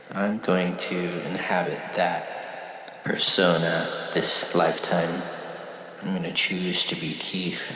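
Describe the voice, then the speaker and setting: A man delivering a reflective spiritual monologue, musing in halting phrases with long pauses between them. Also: deep voice, vocal fry, fairly bright tone, roomy sound. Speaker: monologue speaker.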